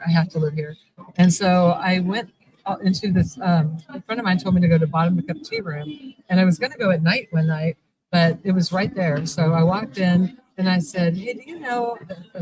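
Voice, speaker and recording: A woman's voice: fairly low pitch, darkish tone, low-quality recording. A middle-aged woman, a shop owner, telling a long rambling story at a convention interview. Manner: chatty, rambling, many restarts. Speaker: guest, vampire shop owner. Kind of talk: casual storytelling in a live interview. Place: convention event.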